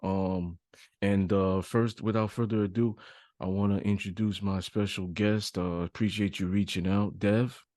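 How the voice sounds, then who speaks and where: A man's voice: low-pitched, steady tone, a little slow, a little mellow, no echo, studio quality. A man hosting a podcast, interviewing in an informal, conversational way. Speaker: podcast host.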